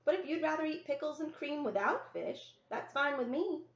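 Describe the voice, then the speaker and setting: A woman's voice: high voice, animated, normal speed, voice pushed up. A woman voicing a practical, bossy young girl, an older sister in a children's novel, with a matter-of-fact manner. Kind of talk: children's novel read-aloud. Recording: roomy, echoey, dull lo-fi sound.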